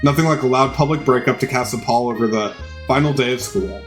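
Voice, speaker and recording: A man's voice: medium pitch, a little fast, faint music behind. A man reading game text aloud as a dramatized narration during a playthrough.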